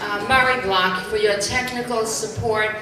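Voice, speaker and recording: Slow-speaking woman in a very echoey hall. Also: medium pitch, fairly even intonation, pushed and bright. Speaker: amateur theatre writer and director. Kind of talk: thank-you speech to a live audience. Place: large hall, live stage event. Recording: very echoey noisy hall, far off.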